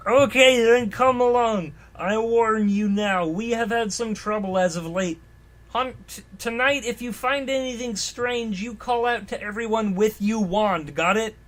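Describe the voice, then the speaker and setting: A man voicing a gruff but friendly groundskeeper from fan fiction, with drawn-out, theatrical delivery. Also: high voice, a bit bright, voice pushed up. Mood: cautioning.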